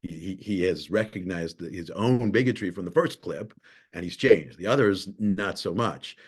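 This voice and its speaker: Brief: male professor, lecture delivery, low-ish voice, stumbles and restarts. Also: expressive, normal speed, husky. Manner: thoughtful, often repeats and restarts words.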